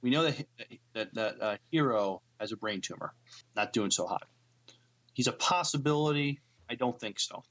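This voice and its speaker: A man co-hosting a podcast, chatting and reading news casually, with a halting delivery that trips on word starts. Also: medium pitch, fairly bright tone. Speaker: podcast co-host.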